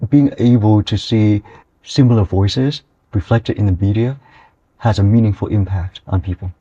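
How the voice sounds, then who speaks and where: A man's voice: on the low side, moderate pace, dark tone, breathy edge. A young man from Vietnam who edits a student newspaper, answering radio interview questions earnestly and often adding "right?". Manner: earnest, with frequent "right?" tags. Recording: dull, lo-fi audio.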